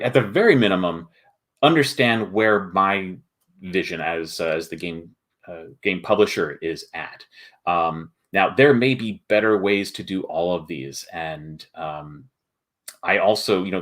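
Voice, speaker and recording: A man hosting a casual chat as a game publisher, explaining his process haltingly and thinking aloud as he goes. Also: fairly low pitch, a bit bright. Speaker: board game publisher and show host.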